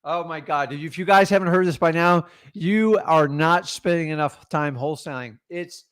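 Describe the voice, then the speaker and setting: A man's voice: fairly high pitch, normal speed, a bit bright, clean tone, no echo, studio quality. A middle-aged man coaching on real estate deals, talking in an emphatic, motivational, direct way. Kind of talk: energetic coaching talk.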